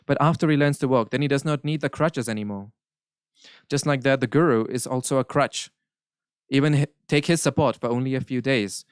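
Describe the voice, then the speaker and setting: Smooth-voiced man interpreting. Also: mid-range voice, no room sound, clean studio sound. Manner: measured, earnest, occasional self-corrections. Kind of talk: live interpretation of a spiritual Q&A.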